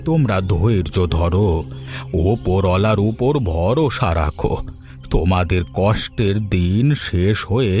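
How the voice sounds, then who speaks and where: A man voicing a gentle, wise old forest healer in a cartoon, speaking slowly with calm, fatherly counsel. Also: low voice, soft background music. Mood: soothing. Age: older. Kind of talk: cartoon voice acting.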